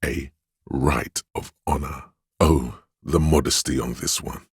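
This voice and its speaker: An actor voicing a solemn, grandiose inner voice of authority in a video game, speaking in a pompous, ceremonious and deliberate manner. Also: male voice, rumbling low, fairly even intonation, slightly rough, no room sound, clean studio sound, exaggerated voice. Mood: solemn praise. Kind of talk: scripted game voice lines, theatrical narration.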